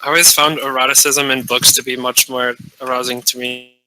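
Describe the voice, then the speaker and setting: Flat-toned man, very bright voice. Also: mid-range voice, fairly quick, no echo, rough recording. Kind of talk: casual group chat. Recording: faint background noise, clicks.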